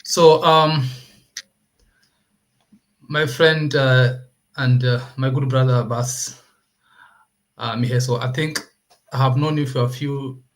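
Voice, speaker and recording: A man with a Kenyan accent hosting an informal online interview, speaking haltingly and often repeating himself. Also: medium pitch, steady tone. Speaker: host of an online talk series.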